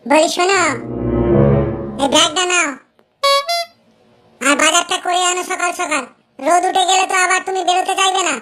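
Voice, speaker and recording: A shrill, bright village housewife, voiced by a woman, nags her husband in a high voice. She is quick to threaten and scold. It is a cartoon comedy. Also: steady tone, faint music behind. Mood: nagging.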